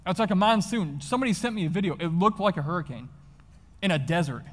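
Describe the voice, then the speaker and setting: An American man giving a motivational talk to an audience, telling personal stories in an animated, conversational way. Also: high-pitched, fast talker. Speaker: motivational speaker. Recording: reverberant hall, live stage sound.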